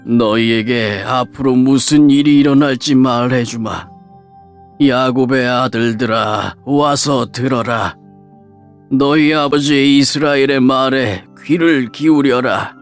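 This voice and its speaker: A man playing an aged, dying patriarch who speaks solemnly and deliberately to his sons in a dramatized scripture audiobook. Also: medium pitch, natural voice. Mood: stern, solemn.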